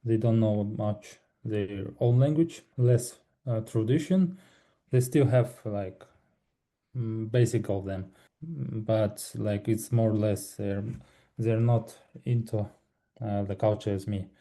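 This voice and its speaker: A young man whose first language is Buryat, a podcast guest speaking haltingly, with many restarts. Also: lowish voice, dark tone. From Buryatia, Russia. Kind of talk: conversational podcast interview.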